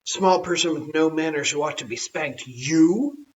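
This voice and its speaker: Story reader doing a bossy old-time tribe chief guy, grand and slow, dull distant recording. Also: medium pitch, a little hiss or hum, close to an everyday voice. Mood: outraged. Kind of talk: acted storybook dialogue.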